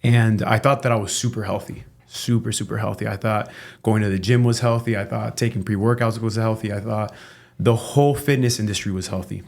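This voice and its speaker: A man from Cuba speaking with a fairly low voice as a podcast guest. He tells his story in a loose, candid way and keeps checking in with "right?". He swears casually and without fuss. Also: fairly quick, creaky. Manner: relaxed and candid, tags statements with "right?", swears freely. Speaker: chiropractor guest.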